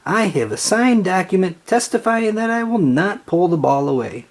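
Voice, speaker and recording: A bossy, scornful big sister from a children's storybook, voiced by a reader. She sounds exasperated, with wide pitch swings and a husky edge. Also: lively intonation, normal speed. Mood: pleading.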